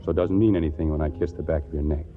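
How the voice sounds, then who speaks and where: An actor playing a jealous, stubborn, ardent husband in an old radio comedy, gruff and clipped. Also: male voice, rumbling low, steady tone, racing. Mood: amorous, teasing. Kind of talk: 1940s radio comedy acting. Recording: tinny old radio broadcast, loud music behind.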